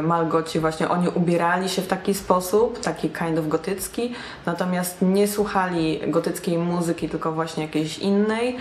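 A young woman talking to camera about alternative fashion, chatty and self-mocking, recorded in a small bright room. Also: on the low side, a little hiss or hum. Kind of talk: casual talk to camera. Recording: roomy echo.

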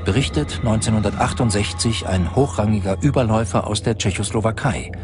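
Guy narrating a documentary, lowish voice, music pretty obvious underneath. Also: fairly quick. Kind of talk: scripted narration.

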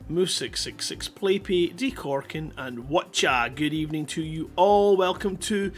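A man's voice: a little high, unhurried, soft background music. A middle-aged man hosting a livestream, chatting casually and rambling in a jokey, self-deprecating way into a headset mic. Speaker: live stream host.

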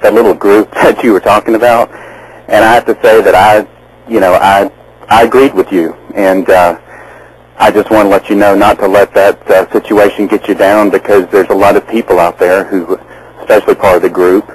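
A low, husky male voice phoning in to a radio show. He talks in a friendly, halting way, with plenty of "uh"s between his thoughts. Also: fairly even intonation, poor audio. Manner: friendly, halting with many fillers. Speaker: caller. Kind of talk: phone-in conversation.

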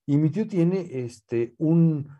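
A man with a Mexican accent in a relaxed seated interview, talking slowly with many fillers and restarts. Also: fairly high pitch, dull audio. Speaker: guest, poet's nephew and scholar. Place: living room with bookshelves, seated.

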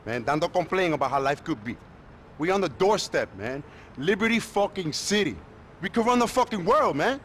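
An actor playing an exasperated young nightclub worker from the streets in a video game, using slang with bits of Spanish. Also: male voice, fairly high pitch, lively intonation, moderate pace, fairly bright tone, slight background noise. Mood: frustrated. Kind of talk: video game cutscene acting.

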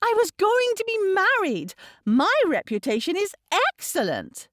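A woman's voice: very high voice, huge pitch swings, measured pace, a bit bright, no room sound, clean studio sound, pitched above the performer's own voice, full character voice. A woman voicing a spoiled teenage girl in an audiobook, petulant, haughty and indignant.

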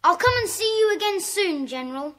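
An actress playing an earnest, polite, kind English schoolboy in a children's audio drama. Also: female voice, very high-pitched, lively intonation, fairly quick, pushed and bright, heavily acted. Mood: earnest, comforting.

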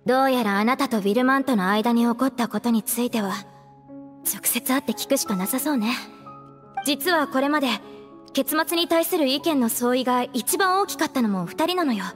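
Actress as a high-voiced, candid young woman. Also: normal speed, faint music behind, lightly acted. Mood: exasperated. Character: brisk, candid, teasing young troupe woman. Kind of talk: Japanese game voice acting.

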